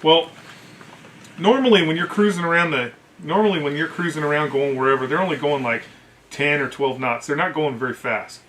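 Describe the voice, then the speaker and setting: A middle-aged American man, a veteran, casually telling profanity-laced service stories in an animated way, acting out what others said. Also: fairly high pitch, fairly quick, a little hiss or hum. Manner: animated, profane, acts out quoted dialogue. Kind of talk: casual anecdotal storytelling.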